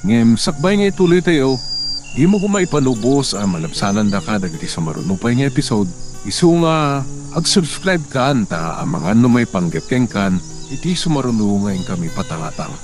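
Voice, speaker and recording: Lively narrator over music. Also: male voice, mid-range voice, moderate pace. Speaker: narrator and host. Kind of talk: promo narration.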